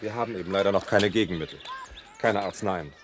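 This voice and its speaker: An actor playing a patient, earnest doctor who reasons gently but firmly in a radio drama. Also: male voice, deep voice, fast talker, slight background noise, natural voice. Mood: regretful, persuasive.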